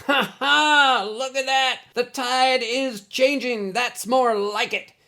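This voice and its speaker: A man voicing a boastful, excitable basketball-playing slacker in his mid-thirties within a story reading. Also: extremely high pitch, slow pace, pitched above the performer's own voice, full character voice. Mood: gleeful, boastful.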